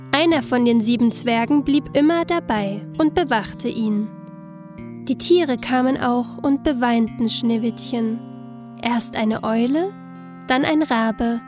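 A woman narrating a scripted fairy tale as a storyteller. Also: on the high side. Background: soft instrumental music.

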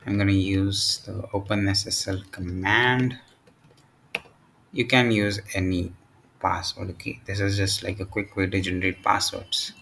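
A man narrating a step-by-step software tutorial in short, halting phrases. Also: low-pitched, creaky, a little hiss or hum. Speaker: tutorial presenter.

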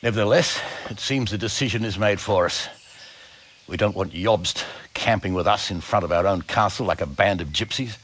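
A man's voice: fairly low pitch, moderate pace, fairly bright tone, a little hiss or hum, natural voice. A man playing a burly middle-aged medieval lord, blunt and sardonic, in a dramatic game cutscene. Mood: firm argument.

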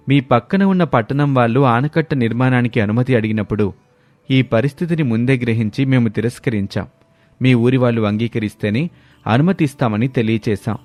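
An actor voicing a formal government official's letter to villagers in a cartoon moral story. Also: male voice, mid-range voice, a little mellow, dry recording, lightly acted.

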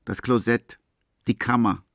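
A man's voice: mid-range voice, fairly even intonation, measured pace, gravelly, fairly bright tone, no echo, studio quality. A man reading scripted literary narration as the narrator of a radio play.

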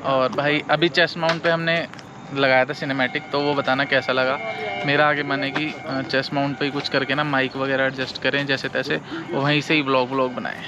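A man's voice: medium pitch, little pitch movement, brisk, highs cut off. A young man chatting cheerfully to viewers as he vlogs a motorbike ride outdoors, speaking into an action camera. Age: young adult. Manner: chatty and upbeat, addresses viewers as brothers. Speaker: motovlogger. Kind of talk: casual vlog narration. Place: outdoors on a highway and roadside, partly riding a motorbike. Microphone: chest-mounted action camera, also selfie at arm's length. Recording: noisy outdoor action-cam audio. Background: wind, traffic and engine noise.

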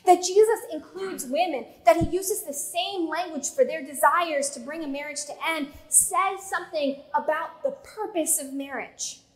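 A woman in her thirties, a pastor, preaching a sermon in a church sanctuary at a pulpit mic, measured with slow, emphatic pauses. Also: high-pitched, low-quality recording. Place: church sanctuary with pipe organ.

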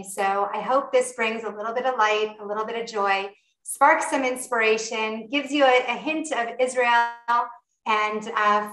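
A woman's voice: medium pitch, fairly bright tone. A Canadian woman chef hosting a live online cooking class, enthusiastic and welcoming, chatty with many fillers. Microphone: video call. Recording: echoey room over a video call.

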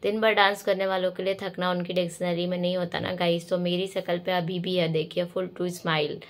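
Hoarse young woman vlogging, lowish voice. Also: flat delivery, a little fast. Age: young adult. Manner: chatty, addressing viewers directly. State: hoarse with a cold. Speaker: vlogger and dance choreographer. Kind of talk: casual vlog voice-over.

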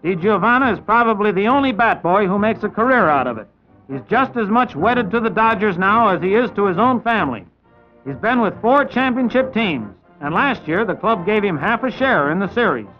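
A man's voice: sits high, lively intonation, brisk. A man working as a reporter, delivering 1950s sports newsreel narration and commentary. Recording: dull vintage 1950s newsreel sound, music behind.

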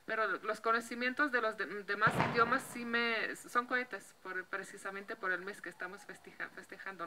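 Slovenian lady vlogging outside, super bright voice, chatty, keeps restarting words, handheld mic. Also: mid-range voice, slight background noise, poor audio. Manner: chatty, restarts words often, laughs lightly. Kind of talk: casual chat to camera. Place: outdoor patio by a block wall.

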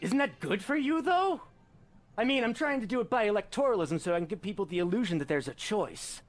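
A man's voice: sits high, animated, brisk. A man voicing a brooding, petulant hedgehog antihero in a comic game fandub, blunt and dramatic, over game music. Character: brooding, petulant male hedgehog antihero hungry for evil power.

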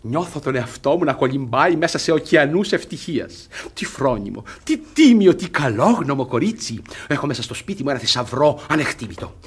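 An actor playing a smug, jealous, gullible middle-aged guardian in a theatrical radio comedy, excitable and repeating words. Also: male voice, medium pitch, few pauses, slightly rough. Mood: blissful, proud.

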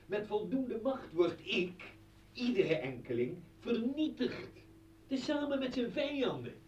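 A man's voice: sits high, sing-song, unhurried, a little mellow, muffled recording. Indignant intellectual man, echoey and distant. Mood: bitter, accusing. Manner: accusing, indignant. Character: disdainful liberal intellectual man, defiant and indignant. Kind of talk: radio play acting. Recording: echoey room, distant from mic.

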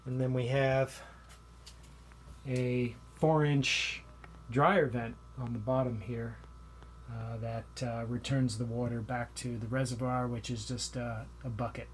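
Relaxed middle-aged man explaining his gear. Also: mid-range voice, takes it slowly, slight background noise. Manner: relaxed, plain explaining with fillers. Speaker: vlogger. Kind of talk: casual show-and-tell walkthrough. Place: small basement utility room.

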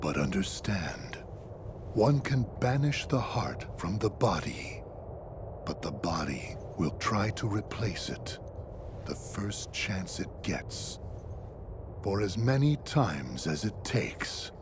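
A grave, composed video game villain holds forth in a deep male voice. He is formal, coldly philosophical and very unhurried. Clear ambient noise sits behind his words. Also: big pitch swings, heavily acted. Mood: cold, lecturing. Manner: formal, measured, solemn.

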